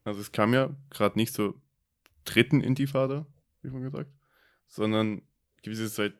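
A young man from Germany co-hosts a casual podcast chat. His voice sits low, and he thinks aloud in a hesitant, reflective way, full of fillers and restarts. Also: moderate pace. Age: about 20. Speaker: podcast co-host. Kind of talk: casual discussion.

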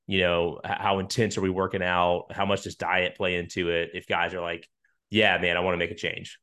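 A man's voice: sits low, flat delivery, a little fast, sharp tone. A man in his thirties hosting a podcast, chatting casually and informally as he interviews a guest. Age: 30s. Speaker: podcast host.

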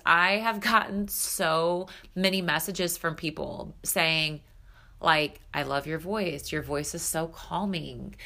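A woman's voice: fairly low pitch, bright, forceful tone. A woman in her thirties hosting her own podcast, talking candidly and casually with lots of fillers, a little stuffed up and nasal. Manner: chatty, candid, filler-heavy. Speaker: podcast host and spiritual coach. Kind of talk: solo podcast monologue.

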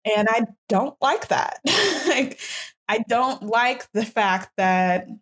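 A woman's voice: fairly high pitch, takes it slowly. A woman in her twenties, a podcast host, telling a personal story in a reflective, casual way with many fillers and restarts. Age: 20s.

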